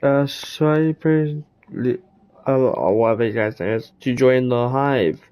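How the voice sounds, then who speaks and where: A man's voice: medium pitch, unhurried, a little mellow, clean tone, muffled recording. A man giving casual, offhand gameplay commentary with a wry manner, as a game streamer.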